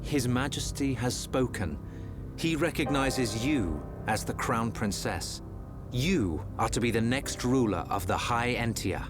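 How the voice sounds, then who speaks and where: Calm prince guy acting, formal big brother type, game cutscene, music under it. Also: medium pitch, a little slow, lightly acted. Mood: reassuring. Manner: formal, composed, reassuring. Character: calm, noble, supportive prince and elder brother.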